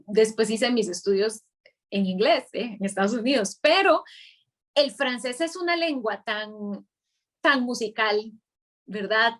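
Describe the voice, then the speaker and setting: A woman's voice: a little high, a bit bright. A young Costa Rican woman from Guanacaste, a musician guest, talking reflectively in a live video chat with many small restarts. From Costa Rica, Guanacaste. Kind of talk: casual interview over a live video stream. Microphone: video call.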